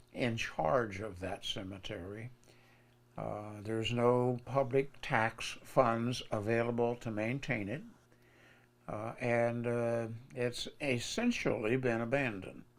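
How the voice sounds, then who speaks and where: Halting American man in an interview. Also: mid-range voice, fairly even intonation. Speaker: foundation director being interviewed. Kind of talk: unscripted interview remarks.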